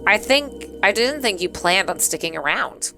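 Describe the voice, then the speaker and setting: Woman playing a cocky male creature, visual novel love interest, sardonic, teasing, mid pitch, music behind. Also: lively intonation, moderate pace, creaky. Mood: smug, teasing.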